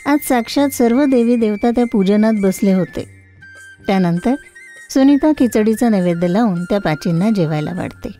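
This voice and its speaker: A woman's voice: medium pitch, expressive, normal speed, mellow. A woman narrating a scripted moral tale for children as a storyteller. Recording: music behind the voice. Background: background music.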